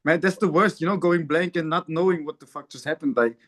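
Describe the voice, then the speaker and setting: Chatty man, higher voice. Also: a little fast. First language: Turkish. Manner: rambling, many fillers and restarts, laughs often. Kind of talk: casual chat.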